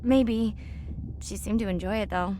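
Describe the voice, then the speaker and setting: A woman's voice: mid-range voice, unhurried, dark tone, a little hiss or hum, close to an everyday voice. A young woman playing a casual, guarded student and younger sister in a video game. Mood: guarded, affectionate. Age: young adult. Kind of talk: video game cutscene dialogue, naturalistic.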